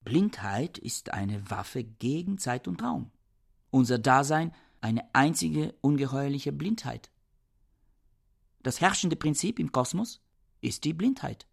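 Radio play: aloof, pedantic man of learning, gravelly and highly animated, curt and pompous. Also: takes it slowly, exaggerated voice. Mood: grandiose. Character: pedantic, aloof male scholar, curt and self-important. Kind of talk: radio drama acting.

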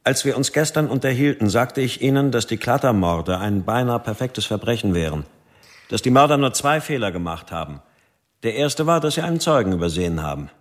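An actor playing a male police detective who confronts a suspect in a deliberate way. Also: medium pitch, expressive, close to an everyday voice. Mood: confronting.

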